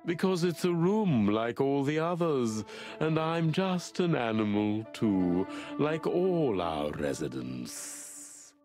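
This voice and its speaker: An actor playing an unsettling, philosophical snake doctor in a noir game, speaking in a measured, cryptic way. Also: male voice, mid-range voice, very animated, darkish tone, faint music behind. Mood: cryptic, composed. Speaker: asylum doctor character.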